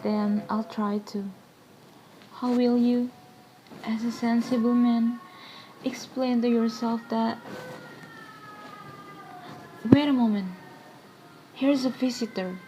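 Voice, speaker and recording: A woman acting a troubled, gracious married lady in a stage play, speaking earnestly and formally with some halting. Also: on the high side, fairly even intonation, mellow, a little hiss or hum, poor audio. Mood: distressed, hesitant.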